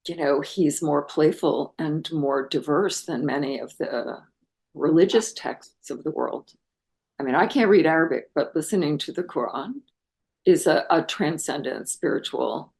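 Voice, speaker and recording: Low-voiced earnest American woman. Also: creaky, a little mellow. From United States. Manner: earnest and enthusiastic, musing with many pauses. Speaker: guest scholar and translator. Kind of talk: reflective interview talk.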